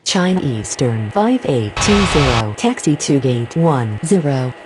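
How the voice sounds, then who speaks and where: A woman acts a female air traffic radio voice in a game. Her pitch is low with a lively, moving contour. She delivers set phraseology over clear background noise. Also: a little slow, full character voice. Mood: procedural. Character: female airline pilot or controller on radio.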